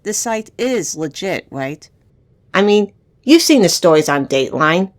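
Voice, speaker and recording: Low-voiced, worried young woman played by an actress. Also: very animated, unhurried. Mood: anxious. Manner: anxious, conversational. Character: worried, protective young woman fretting about a relative. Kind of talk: audio roleplay acting.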